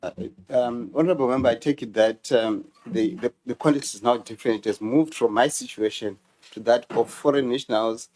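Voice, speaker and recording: A man's voice: mid-range voice, a little mellow, poor audio. A man from Zimbabwe answers a parliamentary committee as a university official. He speaks formally and hesitates often, restarting his phrases. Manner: hesitant, halting with fillers. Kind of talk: formal testimony.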